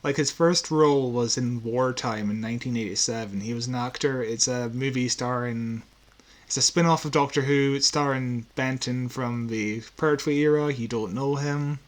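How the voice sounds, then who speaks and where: A man's voice: mid-range voice, fairly even intonation, normal speed. A man chatting casually as a podcast co-host, explaining trivia like a keen fan. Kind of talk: casual chat.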